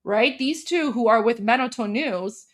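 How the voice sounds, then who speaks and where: A woman with a fairly high voice hosts a casual livestream. She translates and comments in a conversational way, often circling back to rephrase, and speaks straight to her viewers. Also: moderate pace. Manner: conversational, repeats and rephrases herself, addresses viewers directly.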